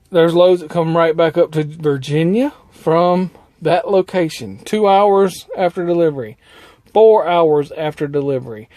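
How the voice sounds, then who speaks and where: A man's voice: high voice, warm tone, no echo, studio quality. A man talking casually as a trucker vlogger, explaining things in a folksy, colloquial way.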